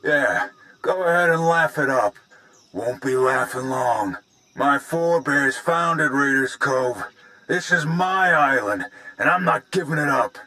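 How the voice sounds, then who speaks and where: An actor playing a blustering, belligerent male pirate boss in a video game, rough-spoken and threatening. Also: medium pitch, unhurried, bright, forceful tone. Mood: menacing.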